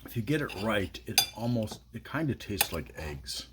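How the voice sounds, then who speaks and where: A middle-aged man reviewing food on his phone camera at home, talking in a dry, low-key musing way. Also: big pitch swings, normal speed, heavy background noise. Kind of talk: casual taste-test vlog. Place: small indoor room at home. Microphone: phone camera at arm's length.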